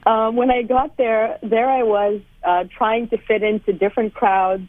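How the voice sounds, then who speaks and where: A woman's voice: a little high, moderate pace, no echo. Woman in her 30s from malawi calling in, phone-line sound, dry, laughs a lot while telling stories. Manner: animated storyteller, laughs often. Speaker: guest author. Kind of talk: radio interview.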